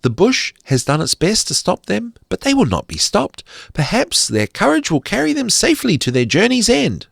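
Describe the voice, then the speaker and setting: Man putting on a high little forest fairy. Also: huge pitch swings, moderate pace, gravelly, no echo, clean studio sound, full character voice. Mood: kindly, resolved. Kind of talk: storybook character voice.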